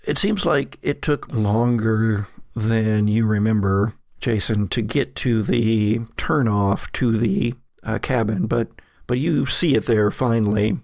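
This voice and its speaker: A man narrating a horror tabletop game as game master, telling it in a halting, descriptive way. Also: on the low side, creaky, darkish tone, no room sound, clean studio sound.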